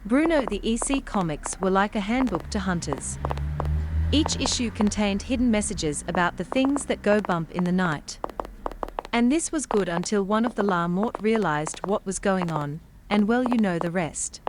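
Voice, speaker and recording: A medium-pitched woman's voice acting as a tall comic shop owner in a comedy horror audio drama. She is dry, knowing and unimpressed, with clear noise in the background. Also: lively intonation, normal speed, lightly acted. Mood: explanatory.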